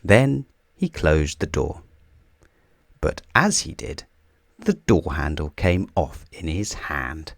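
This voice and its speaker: A man reading a children's storybook aloud in a theatrical, deliberate way, drawing out key words for emphasis. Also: a bass voice, sing-song, vocal fry, dry recording, clean studio sound. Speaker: children's book reader. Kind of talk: scripted storybook read-aloud.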